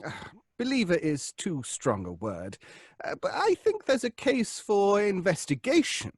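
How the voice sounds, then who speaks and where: High-voiced, donnish professor. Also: male voice, wildly swooping pitch, a little slow, breathy edge, no echo, clean studio sound, heavily acted. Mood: pedantic, measured. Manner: precise, donnish, hesitant with ums. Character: earnest, pedantic male professor leading a seance. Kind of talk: old-fashioned radio drama acting.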